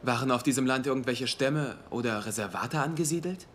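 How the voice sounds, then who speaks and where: A man's voice: medium pitch, fairly quick, a bit bright, a little hiss or hum, natural voice. An actor playing an earnest, wounded young man in a dramatic dialogue scene. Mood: polite, inquiring. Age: young adult. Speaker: actor in an audio drama or dubbed series.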